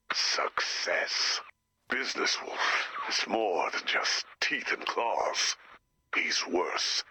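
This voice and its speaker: An actor playing a grave, anxious director cursed to become a werewolf, in an earnest, dramatic video game style with a gravelly voice. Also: male voice, medium pitch, pushed and bright, dry recording, heavily acted. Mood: ominous, dramatic. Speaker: director of a base. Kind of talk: animated video game voice acting.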